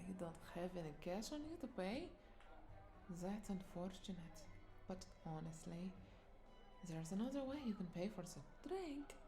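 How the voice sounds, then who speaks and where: Woman doing a seductive vampire bartender, teasing n breathy, bit of fry, intimate roleplay audio w faint music. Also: lowish voice. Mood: coaxing, seductive. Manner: flirtatious, teasing, breathy asides dropping into whispers.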